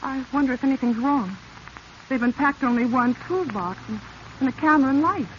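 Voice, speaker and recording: Brave, earnest young woman, female actor, carefully spoken old radio drama style, raised pitch, dull recording with faint hiss. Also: brisk, close to an everyday voice. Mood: curious. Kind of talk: 1940s radio acting.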